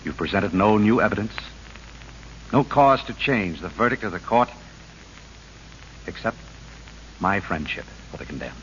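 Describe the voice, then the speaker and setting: An American man acting a principled, troubled governor in an old radio drama, formal and measured in his delivery. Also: fairly low pitch, animated, a bit bright. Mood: solemn. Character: principled, troubled state governor, rigid about duty. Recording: muffled, noisy vintage radio recording.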